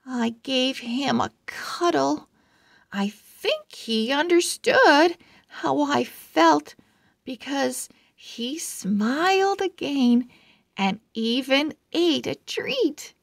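A woman reading a children's story aloud with deliberate, dramatic phrasing. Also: high voice, animated, vocal fry, dry recording, studio quality. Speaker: children's book read-aloud host. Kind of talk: expressive read-aloud narration for young children.

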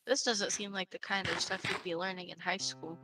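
A young woman making casual remarks in an improvised game roleplay over quiet, distant voice chat. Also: fairly low pitch, fairly even intonation, a little fast, over music.

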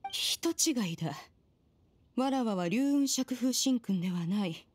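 A woman's voice: a little high, expressive, slow, husky, heavily acted. A woman voicing a proud, aloof ancient adept woman who speaks in an archaic, composed way. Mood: evasive. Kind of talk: Japanese game dub.

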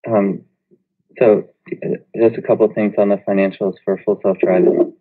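A man speaking as a finance executive, explaining figures on an earnings call in a measured, businesslike way. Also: on the low side, level tone, no room sound. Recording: dull, lo-fi conference call audio.

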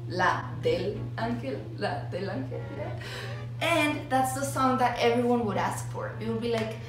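A woman's voice: on the high side, animated, a little slow. A young woman from Mexico chatting casually to her phone camera as a vlogger, in a bubbly, nervous, self-deprecating way. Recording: very echoey room, a little far from the mic, music behind.

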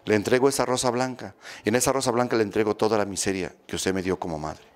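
A Mexican man in his fifties, a priest, giving a homily in a steady, instructive way, repeating phrases for emphasis, into a close handheld microphone. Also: on the low side, fast. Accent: Mexican Spanish. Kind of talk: sermon on family healing. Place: small church room with statues, indoors. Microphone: handheld mic close to mouth.

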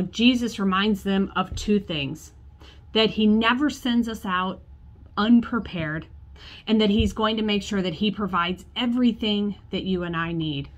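A woman pastor in her forties preaches from her office desk, telling a story. Her voice sits at a middle pitch, and she talks to the camera as if to a congregation. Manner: storytelling, acts out quoted voices, gestures with hands. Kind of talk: sermon. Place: church office with bookshelves, indoors.

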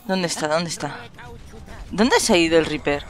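A woman with an accent from Spain chatting excitedly while playing a shooter game with a friend, laughing and swearing often. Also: low voice, moderate pace. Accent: Spanish from Spain. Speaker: gaming channel host. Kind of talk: casual gameplay chat with a friend.

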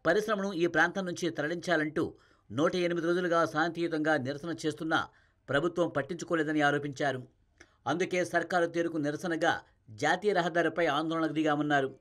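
A man reading a scripted television news voice-over in a brisk, dramatic delivery. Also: a little high, fairly bright tone. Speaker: news narrator.

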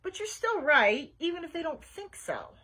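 A woman's voice: extremely high pitch, big pitch swings, moderate pace, pushed and bright, pitched above the performer's own voice, full character voice. A woman voicing a huffy, self-assured, bookish young girl in a children's storybook. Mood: matter-of-fact. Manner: huffy, matter-of-fact. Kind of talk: children's storybook read-aloud acting.

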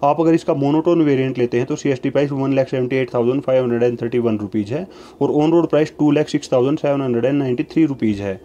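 A man narrating a voice-over, reading out long lists of prices in a steady, even, formulaic way. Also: medium pitch, fast, dull audio, a little hiss or hum.

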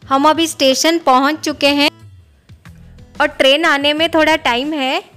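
A woman's voice: sits high, fairly even intonation, unhurried, a bit bright, faint music behind. A woman in her thirties chatting casually in a family vlog, mixing in English words, filmed on a phone held at arm's length. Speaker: family vlogger. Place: home and on the road, train station.

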